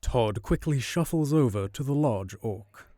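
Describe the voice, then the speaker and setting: A man's voice: medium pitch, animated, unhurried, dark tone, no room sound, studio quality. A man reading scripted narration for an audio drama.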